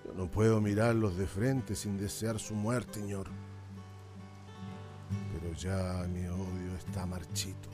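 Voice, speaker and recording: An old, embittered islander in a radio play. His voice sits low and speaks plainly, with grief and deference. Soft guitar music plays behind him. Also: level tone, takes it slowly. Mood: grieving, bitter. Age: older. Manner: plain, mournful, deferential speech. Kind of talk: radio drama acting.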